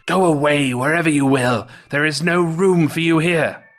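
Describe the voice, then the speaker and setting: A man voicing a devil who guards hell's cauldron in a storybook folk tale, loud, alarmed and shooing people away. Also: a little high, steady tone, normal speed, pitched above the performer's own voice. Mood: alarmed refusal.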